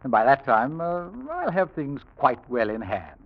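An actor playing a smooth, scheming young houseguest from England in an old-time radio drama, suave with a threat underneath. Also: male voice, medium pitch, lively intonation, normal speed, natural voice. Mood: cool, smug. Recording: dull, noisy old radio recording.

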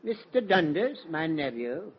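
A man's voice: on the high side, big pitch swings, unhurried. An actor playing an easygoing, blunt older fishing captain in a 1940s radio drama, folksy and hesitant in his talk. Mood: curious, hesitant. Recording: muffled, noisy 1940s radio recording.

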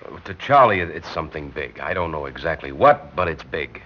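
Building superintendent, extremely deep voice, flustered, protective, pleading, old radio drama, muffled sound. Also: male voice, animated, brisk, fairly bright tone, full character voice. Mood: hesitant, earnest. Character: soft-hearted, flustered male building superintendent. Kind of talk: classic radio drama acting. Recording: dull old radio recording.

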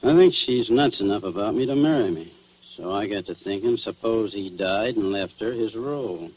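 A man's voice: on the low side, moderate pace, darkish tone, close to an everyday voice. An actor playing a cynical crooked policeman with slangy tough-guy talk, in 1940s radio drama style. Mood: confessing, rueful. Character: crooked male cop confessing to murder, slangy, cynical. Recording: noisy, humming 1940s radio recording.

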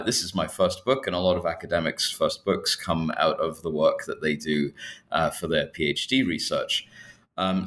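A man from Scotland with a low voice talks as a scholarly interview guest. He explains at length in a conversational way. His delivery is measured and somewhat hesitant, with digressions along the way. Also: fairly bright tone. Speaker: guest academic, history professor.

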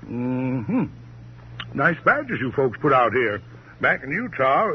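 An actor playing a sly, folksy uncle from out West in an old radio crime drama, drawling and repeating himself with cozy, mock-sweet familiarity. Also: male voice, medium pitch, very animated, takes it slowly. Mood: breezy, cozy. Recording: muffled, hissy old radio broadcast.

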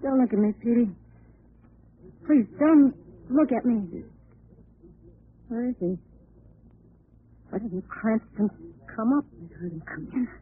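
A woman's voice: a little high, slow pace, pauses often. A woman playing a scheming, increasingly frantic murderess in a 1940s radio crime drama, coaxing and melodramatic. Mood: tense, impatient. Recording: scratchy, narrow-band old radio broadcast.

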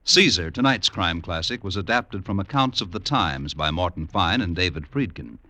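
American man, vintage radio announcing, polished, emphatic, bright tone, dry close sound. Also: low voice, lively intonation, moderate pace, dry recording. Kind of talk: scripted 1950s network radio announcing.

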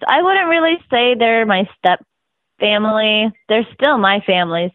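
A woman's voice: mid-range voice, no room sound. Giggly young woman on a tinny line. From Russia. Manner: giggly, easygoing, chatty. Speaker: interview guest. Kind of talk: casual interview. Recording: dry, tinny phone-like line.

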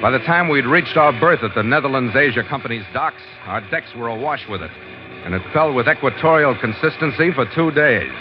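Casting: man as seasoned, wry ship's captain for radio adventure narration, medium pitch, dulled vintage sound. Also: expressive, brisk, natural voice. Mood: brooding, matter-of-fact. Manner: terse, wry, matter-of-fact. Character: hardboiled, seasoned American ship's master, man. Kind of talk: 1940s radio drama narration. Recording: muffled vintage radio, music underneath.

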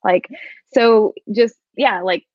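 A woman's voice: medium pitch, takes it slowly, no room sound, highs cut off. A woman in her thirties chatting casually, often laughing, hesitant, with many fillers. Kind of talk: casual conversation.

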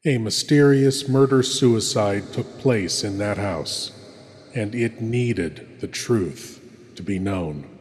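A man's voice: fairly low pitch, slow, darkish tone. A man playing a solemn, ceremonious horror host, narrating theatrically. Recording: long reverb, faint background noise.

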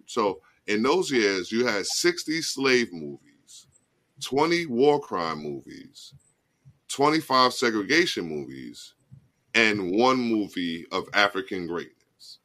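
A man's voice: lowish voice, bright, forceful tone. A man in his forties hosting a casual chat show, talking loosely and often checking in with "you know what I mean". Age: 40s. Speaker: podcast host.

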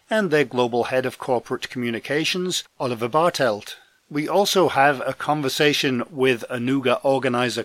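A man hosting a podcast news roundup, his voice deepened by a head cold, reading from a script with dry, self-deprecating asides. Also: no echo. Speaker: podcast host and trade magazine editor. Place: home in Scotland.

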